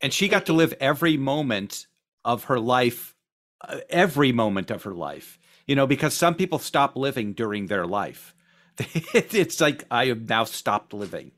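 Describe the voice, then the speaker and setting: A man's voice: mid-range voice, sing-song, moderate pace, a bit bright. A man in casual conversation, making his points emphatically and acting out phrases as he goes.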